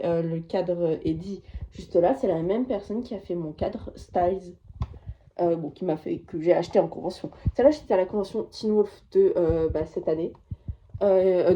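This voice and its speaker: A woman's voice: lowish voice, mellow, slight background noise, poor audio. A teenage girl vlogging from her bedroom, chatting casually and enthusiastically about her souvenirs, rambling as she goes. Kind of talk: casual chat to camera.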